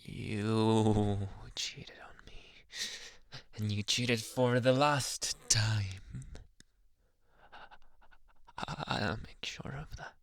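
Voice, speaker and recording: A man playing a jealous, possessive, unhinged young anime boyfriend in an ASMR roleplay, slipping between low speech and breathy whispers, menacing yet coaxing, close to the mic. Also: steady tone, no echo. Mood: accusing, menacing. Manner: menacing, teasing, drawn-out coaxing endearments. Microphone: close-up ASMR microphone, dry.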